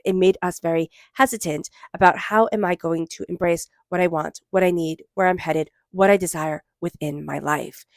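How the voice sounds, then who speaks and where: A woman with a stuffy cold giving a spiritual tarot reading, gentle and meandering, slowing into soft chanted invocations. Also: fairly low pitch, no echo, studio quality. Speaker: tarot reader.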